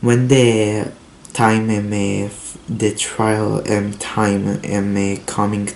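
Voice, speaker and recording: A teenage boy with a Hebrew accent speaks slowly and nervously, reaching for English words through plenty of ums and uhs. His voice has a gravelly edge. The sound is roomy with a faint hiss. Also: on the low side, flat delivery, darkish tone. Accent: Israeli Hebrew. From Israel. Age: teens. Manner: nervous, halting non-native English with many fillers. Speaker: tech vlogger. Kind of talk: casual unboxing and review vlog.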